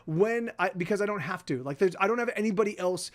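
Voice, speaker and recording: A man in his forties hosting a livestream, chatting casually with viewers in halting, self-interrupting sentences and frequent swearing. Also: sits high. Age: 40s. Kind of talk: rambling casual chat.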